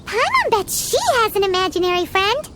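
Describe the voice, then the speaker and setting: Squeaky childlike fairy sidekick, extremely high pitch, animated, excitable, easily spooked, video game. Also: female voice, a little fast, noisy background. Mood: eager, nosy. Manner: refers to self in third person, exclamatory. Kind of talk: video game character acting. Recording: music behind the voice.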